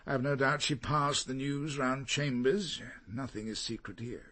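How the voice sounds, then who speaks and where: A man's voice: medium pitch, unhurried, bright, forceful tone. An actor playing a precise, sardonic male barrister in a radio crime drama, with an English accent and a dry, wry manner.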